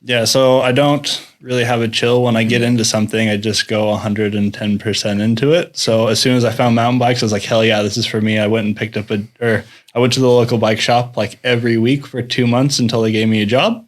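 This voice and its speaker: A man's voice: mid-range voice, fairly even intonation, fairly quick, hardly any pauses. A young American man from Utah, chatting easily as a podcast guest and chuckling often. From Salt Lake City, Utah, USA. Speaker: guest, bike mechanic. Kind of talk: casual podcast interview.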